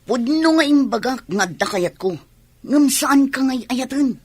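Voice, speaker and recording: Scheming young daughter, slightly husky. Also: female voice, sits high, lively intonation, unhurried, no room sound. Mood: teasing. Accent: Ilocano. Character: scheming young woman talking with her father and suitor. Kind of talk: Ilocano radio drama acting.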